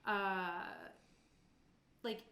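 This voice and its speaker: A woman running a tabletop game as game master, describing scenes informally and haltingly, piece by piece. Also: mid-range voice, fairly even intonation, sharp tone. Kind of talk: improvised tabletop game narration. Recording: roomy, echoing sound.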